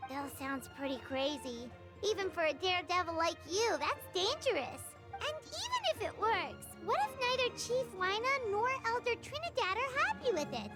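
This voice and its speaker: Squeaky little fairy companion. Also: female voice, big pitch swings, moderate pace, hardly any pauses. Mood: worried. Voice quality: squeaky, childlike. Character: small childlike floating fairy companion, perky and chatty. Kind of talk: English video game dub acting. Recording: music behind the dialogue.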